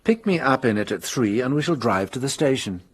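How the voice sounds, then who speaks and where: An actor playing a vain, teasing detective in a radio drama, calm, precise and sure of himself. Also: male voice, medium pitch, a little fast, breathy edge, no room sound. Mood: unhurried, confident. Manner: self-assured, theatrical, precise, wryly amused. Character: incomparable, vain, teasing male French detective, calm and commanding.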